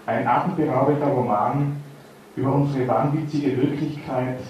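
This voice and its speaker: A man's voice: medium pitch, mellow, a little hiss or hum. A man hosting a literary event, giving a formal introduction of the author with a few small stumbles. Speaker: event host. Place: reading hall before an audience. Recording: echoey hall, distant mic.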